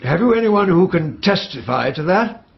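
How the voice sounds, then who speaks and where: A man's voice: high voice, very animated, gravelly, lo-fi sound, heavily acted. A man playing a stern British coroner in a radio drama, questioning formally and pointedly. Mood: probing. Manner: formal, pointed, measured phrasing. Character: stern, probing coroner questioning witnesses at an inquest.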